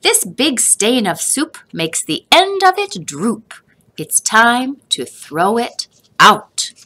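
A woman voicing an exasperated, bossy storybook mother who scolds her young son in an emphatic, drawn-out way. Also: a little high, sing-song, pushed and bright, slightly rough, pitched above the performer's own voice, exaggerated voice. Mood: firm scolding.

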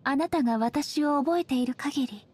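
A woman voicing a dignified, ageless shogun goddess in a game, speaking softly in formal, measured, polite phrases. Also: sits high, close to an everyday voice. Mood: wistful, sincere. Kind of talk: scripted game character voice lines.

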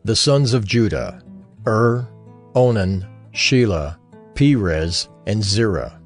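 Low, slow male Bible narrator. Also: expressive, soft background music. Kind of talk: dramatized scripture reading.

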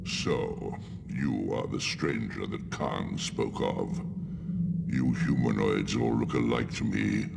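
Cavernous, extremely deep gravelly monster overlord. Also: male voice, a little mellow, exaggerated voice. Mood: scornful. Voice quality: gravelly, guttural. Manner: slow, domineering, scornful. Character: huge monstrous eye tyrant crime lord, contemptuous, menacing. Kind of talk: video game villain acting. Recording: heavy reverb, very noisy game capture.

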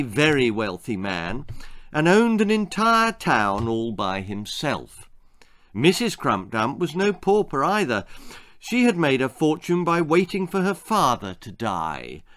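A man's voice: mid-range voice, fairly bright tone. A British man in his sixties reading a children's story aloud in a theatrical, arch manner. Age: 60s. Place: small book-lined room indoors.

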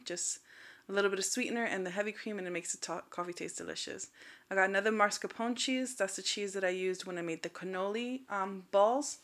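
Woman, thirties, medium pitch, chatty, straightforward, casual vlog delivery, home recording. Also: a bit bright. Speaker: vlogger. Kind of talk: casual grocery haul vlog. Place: indoors at home.

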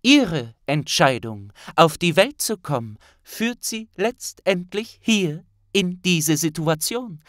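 Audiobook role: man as a tall, judging grandmaster, stern and deliberate, pitched up high. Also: very animated, fairly bright tone, dry recording, clean studio sound, exaggerated voice. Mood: stern, accusing. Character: stern, tall male grandmaster passing judgement. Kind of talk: dramatic audiobook reading.

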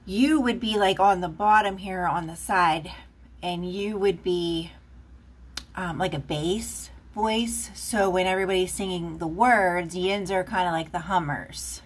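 Woman, tarot reader, casual rambling talk, slightly low pitch, recorded by a camera over a table. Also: a little slow. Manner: rambling, chatty, many fillers. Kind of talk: casual tarot reading monologue.

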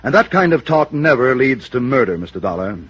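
An actor playing an arrogant showbiz star, pompous and haughty with affected politeness, in old-time radio drama style. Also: male voice, mid-range voice, big pitch swings, fairly quick, fairly bright tone, breathy edge. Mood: dismissive. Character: pompous, arrogant male comedy star boss. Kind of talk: 1950s radio drama acting. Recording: dull old radio recording.

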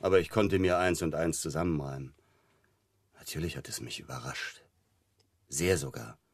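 Condescending deep-voiced psychotherapist. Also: male voice, breathy edge, no room sound. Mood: dry, guarded. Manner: measured, condescending, dryly ironic. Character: self-assured, probing male psychotherapist. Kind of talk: German radio crime drama acting.

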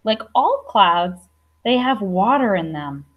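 A woman's voice: medium pitch, sing-song, slow, highs cut off. A woman around her thirties, a teacher reading aloud to young children, enunciating and adding chatty questions to her listeners. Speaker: primary school teacher. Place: indoor classroom.

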